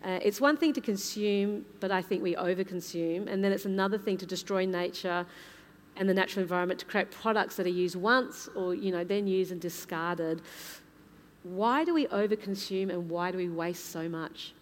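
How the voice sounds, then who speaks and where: A woman's voice: lowish voice, moderate pace. A woman moderating a live panel, speaking unscripted in an earnest, conversational way. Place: large indoor hall at a live museum event. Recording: hall reverb, noisy live event.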